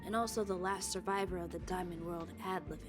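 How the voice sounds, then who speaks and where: A woman's voice: on the low side, steady tone, fast talker, music in the background, natural voice. A woman voicing an ominous, solemn figure in a video game who speaks like a grave storyteller. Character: ominous, solemn woman telling a tragic legend.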